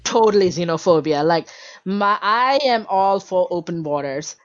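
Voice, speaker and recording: A woman's voice: on the low side, animated, moderate pace, dry recording, dull audio. A woman from Malaysia, a guest in a casual interview, talking with passion and many filler words.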